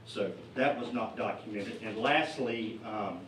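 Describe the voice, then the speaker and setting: Man, middle-aged, parent public comment, earnest, halting, roomy echo, noisy. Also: lowish voice, a bit bright. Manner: earnest, halting, with many fillers. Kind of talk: public comment at a board meeting. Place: school board meeting room. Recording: echoey meeting room, distant mic, noisy.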